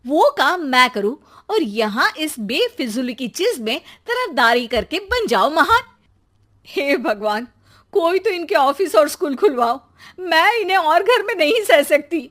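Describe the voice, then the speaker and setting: A woman performs a fed-up cartoon mother with a fiery temper. Her voice is raised high and shrill, scolding with heavy sarcasm. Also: sing-song, a little slow, fairly bright tone, full character voice. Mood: exasperated rant. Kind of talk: Hindi cartoon story dialogue.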